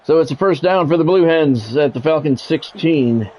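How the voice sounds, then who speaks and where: A man's voice: on the high side, normal speed, darkish tone. An American man doing live play-by-play of a football video game in short sportscaster phrases, chatting casually with stream viewers. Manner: radio-style sportscaster calls in short clipped phrases. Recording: dull lo-fi mic with background music. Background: music.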